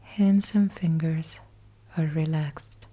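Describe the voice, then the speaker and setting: A woman leads a guided sleep meditation as a yoga nidra instructor, speaking in a soothing hush with short measured phrases and soft, breathy endings. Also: deep voice, fairly even intonation.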